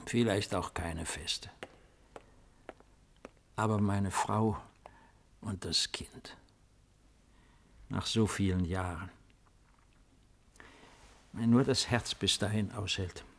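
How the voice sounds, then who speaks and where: A brooding old emperor in a vintage radio play, with a fairly low voice. He speaks in a measured, stately way, commanding yet lonely and ailing. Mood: weary, wistful. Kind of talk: 1950s German radio drama acting.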